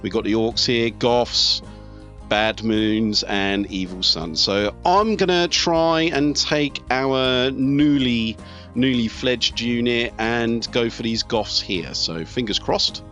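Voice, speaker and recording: A man's voice: medium pitch, slow pace, a bit bright, muffled recording. A middle-aged man giving casual let's play commentary on a strategy game, talking conversationally as he thinks aloud. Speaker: video host, gamer. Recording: game music behind the voice.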